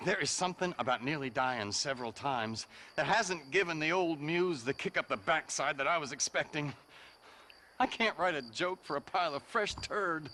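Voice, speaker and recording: An actor playing a chatty, self-mocking, washed-up writer in a Wild West video game, flowery and rueful. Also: male voice, a little high, moderate pace, sharp tone, slight background noise. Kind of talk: video game cutscene acting.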